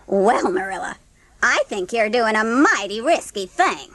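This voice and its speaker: A woman's voice: on the high side, sing-song, a little slow, fairly bright tone, dry recording, full character voice. A woman playing a blunt, disapproving village busybody in an audiobook drama, forthright and scolding.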